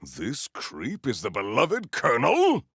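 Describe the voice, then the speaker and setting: A man playing a proud, stern warrior in a video game, speaking formally and theatrically in grandiose declarations with a gravelly edge. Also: medium pitch, wildly swooping pitch, slow, fairly bright tone, dry recording, clean studio sound, exaggerated voice. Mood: irritated, urgent. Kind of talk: video game character voice lines.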